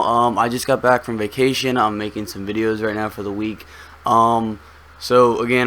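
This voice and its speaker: Young guy a bit hoarse, talking thru his game setup, lots of ums, laid back. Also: lowish voice. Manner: relaxed, rambling with many ums. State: slightly hoarse. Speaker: gaming YouTuber. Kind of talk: casual gameplay commentary.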